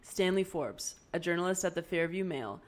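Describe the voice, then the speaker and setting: A woman voicing a dry-witted seventeen-year-old girl with a deadpan, wry manner. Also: fairly low pitch, normal speed, lightly acted. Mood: earnest.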